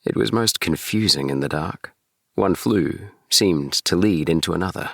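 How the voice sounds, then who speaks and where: A man narrating a bedtime audiobook in a gentle, soothing storytelling manner. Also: low voice, normal speed, creaky, no echo, clean studio sound. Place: small DIY recording booth.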